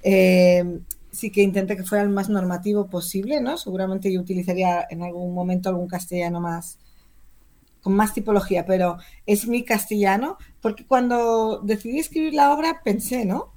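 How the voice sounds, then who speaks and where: A woman with an accent from Spain, speaking as an interviewed novelist in a talkative, expressive, conversational way. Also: medium pitch, moderate pace. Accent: Spanish, from Spain.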